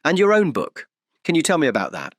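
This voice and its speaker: A man's voice: a little high, wildly swooping pitch, a little fast, a bit bright, dry recording, clean studio sound, heavily acted. A British man playing a curious, polite, probing interviewer in an audio drama.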